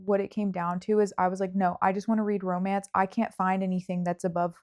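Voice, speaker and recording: A woman's voice: medium pitch, little pitch movement, fast, darkish tone. Casual, hedging woman chatting about books. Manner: informal, hedging, frequent "like". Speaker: book podcast host. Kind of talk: casual book chat.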